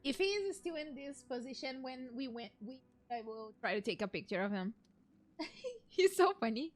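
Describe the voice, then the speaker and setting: A woman's voice: high voice, lively intonation, moderate pace. A woman streaming a game, chatting casually and playfully as she reacts. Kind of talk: casual playthrough reaction chat. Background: game music.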